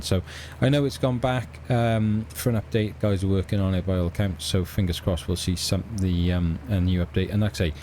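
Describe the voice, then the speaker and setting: A man's voice: low voice, warm tone, a little hiss or hum. A middle-aged man with a Welsh accent, hosting a casual let's play and chatting about his game in a rambling, hesitant way with lots of ums and restarts. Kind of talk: casual gameplay commentary.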